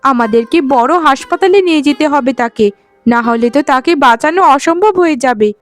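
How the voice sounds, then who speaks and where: A woman's voice: high voice, lively intonation, a little fast, soft background music. A woman voicing a caring, worried elder co-wife in a cartoon melodrama, speaking in a sisterly, earnest way.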